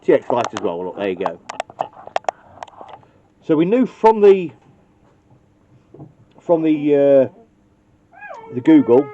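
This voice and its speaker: Guy walking thru a wiring job, laid-back and self-mocking, mellow voice, muffled lo-fi sound. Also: medium pitch, slight background noise. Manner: casual, thinking aloud, self-deprecating. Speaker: CB radio technician. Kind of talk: hands-on how-to demonstration. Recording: dull lo-fi recording.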